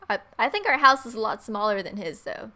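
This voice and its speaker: Fairly high-voiced young woman reading a game. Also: brisk. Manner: irreverent, expressive. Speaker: gaming video host. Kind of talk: let's play, reading visual novel narration aloud.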